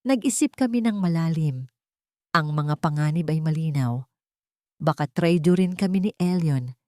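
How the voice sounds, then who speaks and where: Female storyteller, low register, dramatic scripted narration, dry close sound. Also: darkish tone, no room sound.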